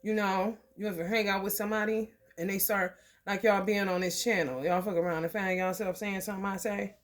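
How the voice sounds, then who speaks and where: Woman, tarot reader, scratchy allergy throat, casual, profane, throat clearing, mid-range pitch. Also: steady tone, a little fast. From American Midwest. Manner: loose and profane, with laughs and frequent throat clearing. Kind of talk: casual spiritual reading to camera.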